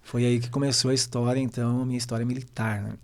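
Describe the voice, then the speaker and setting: A middle-aged man, chatting as a podcast guest. He tells long stories in a relaxed, unhurried way and keeps checking in with his listeners through little tag questions. His voice sits at an ordinary male pitch. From western Santa Catarina, Brazil. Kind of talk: casual podcast conversation.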